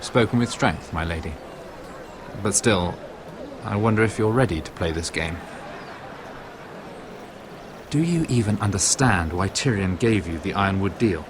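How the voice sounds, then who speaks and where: Casting: scheming noble merchant for fantasy game, low voice, very lively intonation, silky courtly delivery. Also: male voice, normal speed, background noise. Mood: sly, persuasive. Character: scheming male noble merchant, smooth and calculating. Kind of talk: dramatic game cutscene acting.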